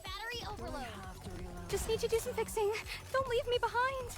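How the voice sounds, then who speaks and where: A perky, extremely high-pitched young fighter girl fires off short, punchy game callouts. Loud music nearly swamps the voice. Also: brisk. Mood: perky battle callouts.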